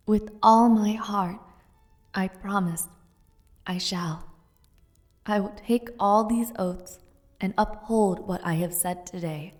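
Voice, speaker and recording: A woman playing an earnest, resolute young noblewoman of a fantasy realm in an audio roleplay, speaking formally and with measured care. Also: medium pitch, a little mellow, faint music behind, close to an everyday voice.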